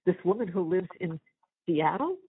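A woman in her seventies chatting casually on a family phone call, halting and repeating phrases, with a husky, gravelly voice. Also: lowish voice, animated. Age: 70s. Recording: tinny, band-limited jail phone call.

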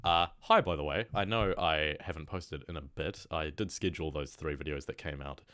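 Excitable young New guy, very low. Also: normal speed, no echo, clean studio sound. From New Zealand. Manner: excitable, laughs often, slangy. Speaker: music reaction host. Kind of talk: excited casual reaction commentary. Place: small room indoors.

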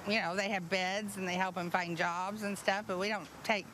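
A woman's voice: medium pitch, steady tone, moderate pace, noisy background. An older woman interviewed outdoors on a city street, indignantly recounting what hospital staff told her. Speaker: interviewee, patient's mother. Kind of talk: news interview.

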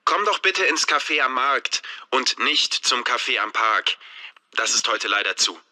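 A man's voice: medium pitch, unhurried, exaggerated voice. An actor playing a man who leaves a friendly phone message, in a scripted language-test recording. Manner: clear, steady exam-recording delivery. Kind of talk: scripted listening-test dialogue.

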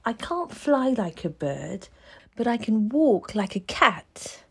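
A woman's voice: on the high side, very animated, slow pace, creaky, slightly rough, full character voice. A woman voicing a curious, playful little farm boy in a storybook, with simple, earnest phrasing. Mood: eager, curious.

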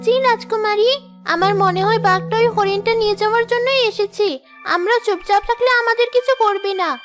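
Anxious young cartoon handmaid, played by a woman: very high voice, respectful and careful, music underneath. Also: fairly even intonation, fairly quick. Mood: cautioning. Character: cautious, deferential young maid companion of a princess. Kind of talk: Bengali cartoon story dialogue.

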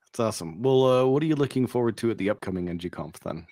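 A middle-aged man hosting a casual interview over a video call, upbeat with quick reactions, speaking into a studio mic. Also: medium pitch, animated, dark tone, no room sound. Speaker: interview host. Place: home studio. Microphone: boom studio microphone with headphones.

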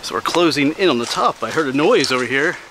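Chatty man hiking a forest trail. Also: a little high. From Pennsylvania. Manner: relaxed, chatty, pauses for breath. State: slightly out of breath. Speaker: hiking vlogger. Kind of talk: casual narration while hiking. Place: winter forest trail outdoors. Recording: outdoor noise on camera mic.

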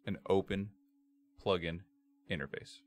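Man, lowish pitch, bass-heavy voice, tutorial narration, measured step-by-step instructions, computer microphone. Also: fairly even intonation. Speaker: tutorial presenter. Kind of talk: scripted software tutorial narration. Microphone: computer microphone, screen-capture voice-over.

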